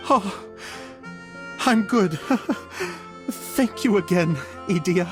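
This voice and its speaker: Expressive, unhurried theatrical director. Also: male voice, high voice, over music, exaggerated voice. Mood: warm, grateful. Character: theatrical, sentimental grown male show director, grateful dreamer. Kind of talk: animated game cutscene acting.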